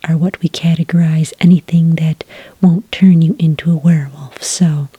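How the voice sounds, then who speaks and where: A woman playing a friendly, patient licensing clerk in a soft, breathy ASMR roleplay, speaking gently and politely close to the microphone. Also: deep voice, fairly even intonation, no room sound. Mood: calm, polite. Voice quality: breathy, soft, near-whisper. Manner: gentle, unhurried, politely official. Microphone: close, dry microphone.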